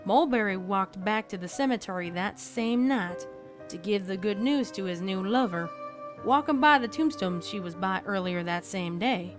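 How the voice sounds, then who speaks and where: A woman voicing a deadpan cowgirl pony who tells a spooky tale in a folksy, dramatic way for a fan fiction audio story. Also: medium pitch, normal speed, close to an everyday voice. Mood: grave, ominous. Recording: background music under the voice.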